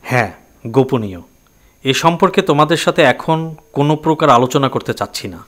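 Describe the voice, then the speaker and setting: A man's voice: mid-range voice, lively intonation, a little fast, fairly bright tone, natural voice. A man playing an accused young man in a Bengali audio drama, answering in a terse, firm, defensive way. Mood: guarded, defiant. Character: guarded, defiant young man under accusation.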